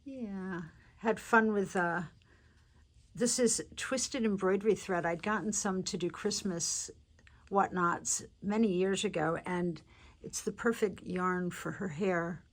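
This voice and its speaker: Slow-talking, congested woman crafter. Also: mid-range voice. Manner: chatty and unhurried, with many fillers and restarts. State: congested, stuffy from allergies. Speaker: crafter showing a handmade journal. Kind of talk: casual show-and-tell narration.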